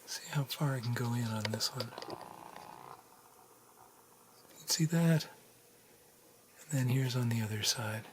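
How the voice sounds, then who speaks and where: A man's voice: medium pitch, measured pace, long pauses, rough recording. A man giving a casual hands-on tutorial, thinking aloud informally as he works at a bench indoors. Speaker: tutorial host. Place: indoors at a workbench. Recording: noticeable room background noise.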